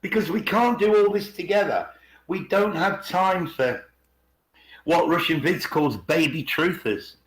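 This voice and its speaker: A man co-hosting a podcast, explaining conversationally and slowing down to stress key words. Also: fairly high pitch. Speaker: podcast co-host. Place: indoors on an online group call. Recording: roomy, echoing online call.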